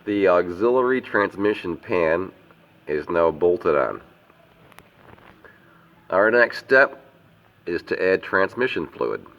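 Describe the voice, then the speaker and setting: A man's voice: sits low, animated, gravelly, fairly bright tone, dull audio, a little hiss or hum. A man working as a mechanic, explaining a repair step by step while congested from a slight cold. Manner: plain, unhurried how-to explaining. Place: auto repair shop.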